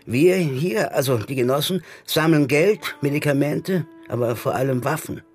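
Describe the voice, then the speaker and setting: An actor playing a jaded, defiant anarchist stage magician in a German radio crime drama, talking colloquially and chummily with a theatrical streak. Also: male voice, fairly high pitch, moderate pace, a little mellow, breathy edge. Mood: earnest, bitter. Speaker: supporting actor.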